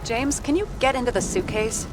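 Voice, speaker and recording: An actress playing a skeptical police partner in a noir video game, blunt, questioning and sarcastic. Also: female voice, fairly high pitch, lively intonation, a little fast, music in the background, close to an everyday voice, low-quality recording.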